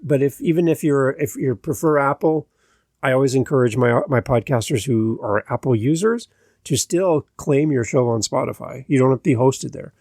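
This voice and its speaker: A man's voice: medium pitch, moderate pace, a little mellow, dry recording, clean studio sound. A man hosting a podcast and coaching podcasters, talking casually and chattily with frequent fillers.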